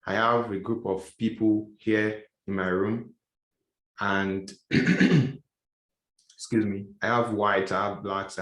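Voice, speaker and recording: A man's voice: lowish voice, reverberant, low-quality recording. A male instructor giving a casual lecture, explaining step by step and often repeating and rephrasing his points. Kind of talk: classroom lecture.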